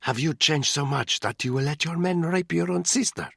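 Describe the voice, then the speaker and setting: A man's voice: a little high, normal speed, vocal fry, fairly bright tone, husky, no echo, studio quality, pitched above the performer's own voice, heavily acted. A male narrator voicing a frightened but defiant young mother who speaks in a tense, urgent hiss. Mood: tense defiance.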